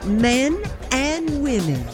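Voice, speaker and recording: A woman's voice: sits high, big pitch swings, drawn-out words, over music, full character voice. A woman playing a confident, wry young country girl and athlete working in the city, in a period radio drama. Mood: playful.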